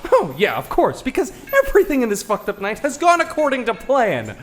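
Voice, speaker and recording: An anxious young man acted by a woman whines and snipes in a high voice that swings widely. It is panicky, sarcastic audio drama acting with faint noise behind. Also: huge pitch swings, brisk. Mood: despairing. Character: anxious, sarcastic, foul-mouthed young man held prisoner.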